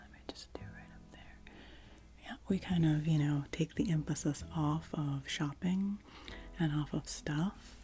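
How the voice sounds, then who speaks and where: Lady whispering asmr face painter roleplay, low voice, soft and warm, chatty. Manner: gentle, meandering, chatty.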